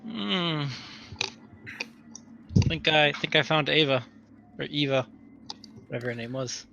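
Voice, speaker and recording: A man's voice: on the high side, takes it slowly, creaky, highs cut off. A man taking part as a player in a tabletop roleplaying game, chatting casually at the game. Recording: background noise, room ambience.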